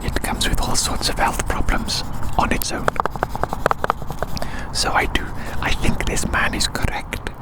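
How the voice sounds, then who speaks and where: A man whispering in a breathy, unvoiced ASMR ramble, often repeating words and phrases for emphasis. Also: measured pace, long pauses, rough recording. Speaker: ASMR video host. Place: outdoors.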